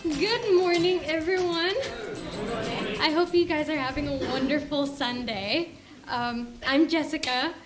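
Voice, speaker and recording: A woman's voice: high voice, a little slow, music in the background. A young woman from Indonesia, sincerely telling her personal faith story to a church congregation. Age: young adult. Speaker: church member giving testimony. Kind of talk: personal testimony speech. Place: church service.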